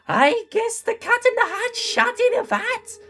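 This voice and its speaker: A manic cartoon villain man, acted out by a woman, pitched extremely high and strained. He talks in an exaggerated mock accent and swoops into loud, panicky outbursts. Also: big pitch swings, measured pace, soft background music, exaggerated voice. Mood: gleeful.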